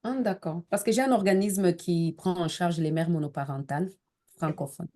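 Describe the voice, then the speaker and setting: Mellow, tentative woman participant. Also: fairly low pitch, normal speed. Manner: tentative, searching for words. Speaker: webinar participant asking a question. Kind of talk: questions in an online session. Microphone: video call.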